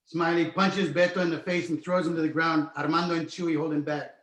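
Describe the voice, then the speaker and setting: Male narrator, scene directions for a table read, higher-set voice, roomy echo. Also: steady tone, a little fast, a bit bright. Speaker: narrator reading stage directions.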